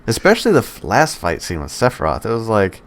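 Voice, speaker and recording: A man's voice: fairly low pitch, huge pitch swings, quick pace. A man co-hosting a podcast, chatting casually about films in profane, slangy language. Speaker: podcast co-host.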